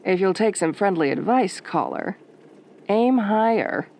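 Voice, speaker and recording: A woman playing a sultry, sardonic late-night radio DJ in a soft, velvety, teasing voice. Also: mid-range voice, lively intonation, a little slow, slight background noise. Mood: wry, teasing. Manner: flirtatious, teasing, wry. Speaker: radio host character.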